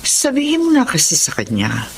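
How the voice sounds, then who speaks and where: A woman's voice: on the low side, wildly swooping pitch, normal speed, slight background noise. An actress playing a grave, candid woman doctor in a Filipino radio drama, solemn and explanatory. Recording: faint music bed behind the voice.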